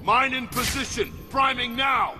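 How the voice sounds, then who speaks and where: An actor playing a rough, jumpy henchman in a video game, with an agitated, barking delivery. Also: very high voice, steady tone, unhurried, in a large hall, music in the background. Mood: urgent.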